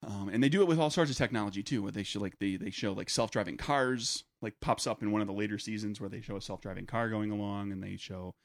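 A man's voice: lowish voice, quick pace, hardly any pauses, no echo, studio quality. A man co-hosting a casual podcast, rambling through his points with lots of fillers and banter. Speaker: podcast co-host.

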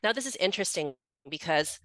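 A woman's voice: on the low side, expressive, brisk, a bit bright, no echo. A woman in her forties from the Philippines, a podcast guest candidly telling her life story in a chatty way. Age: 40s. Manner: candid, chatty, many "like" fillers, laughs easily.

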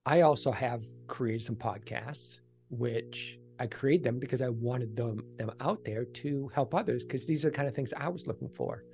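A man talks as a guest in a conversational podcast interview. His voice has a noticeable creak and sits at an average pitch, with clear music playing alongside him. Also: moderate pace, hardly any pauses, a little mellow. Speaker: podcast guest, ASMR creator and author.

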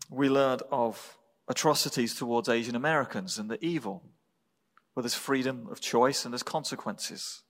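A man with an English accent preaching a sermon as a pastor, speaking in measured, deliberate phrases with pauses. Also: medium pitch, a bit bright. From England. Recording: reverberant hall.

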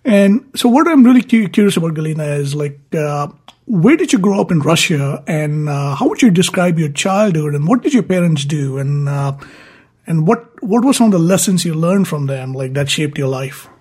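A man with a slightly rough voice hosting an interview, halting and rephrasing as he works toward his questions. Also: on the high side, expressive, darkish tone. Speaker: podcast host, interviewer. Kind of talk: conversational interviewing.

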